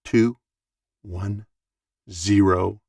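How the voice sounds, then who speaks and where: Male audiobook narrator, sixties, gravelly with vocal fry, wry storyteller, dry booth sound at slight distance from the microphone. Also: lowish voice, steady tone, very slow, a little mellow, dry recording. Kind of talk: expressive audiobook narration of a humorous first-person essay. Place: small dark home recording booth, dry.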